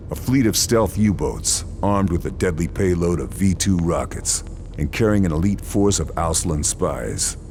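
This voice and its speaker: A deep, dry sniper hero. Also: male voice, a little mellow, slight background noise, heavily acted. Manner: dry, determined. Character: hardened sniper hero, middle-aged man. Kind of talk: game narration.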